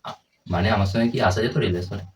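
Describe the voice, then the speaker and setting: Young man, very rapid casual talk, teasing and coaxing, lowish voice. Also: over music. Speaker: prank caller. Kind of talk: casual phone chat. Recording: distant mic, faint background noise.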